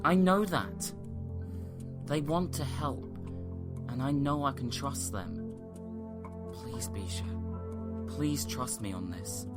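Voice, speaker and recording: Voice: mid-range voice, slow, long pauses, over loud music, lightly acted. A performer playing an earnest, guilt-ridden young agent in a fan audio drama, anxious but resolute, sighing and pleading.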